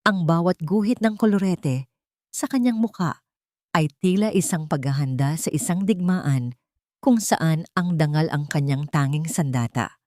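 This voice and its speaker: A woman narrating a scripted dramatic story in a measured, solemn storytelling manner. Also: low-pitched, dark tone, no room sound, studio quality.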